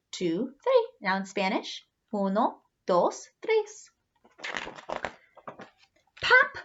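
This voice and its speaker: A woman reading a picture book aloud to young children in an animated, teacherly way, with singsong refrains. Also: high-pitched, a little hiss or hum. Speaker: read-aloud storyteller for children. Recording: slightly dull, lo-fi sound.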